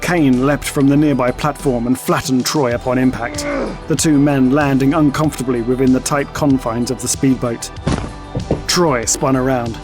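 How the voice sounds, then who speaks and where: A male narrator reads a dramatic audio story. His voice sits at a middle pitch. Music plays softly behind him.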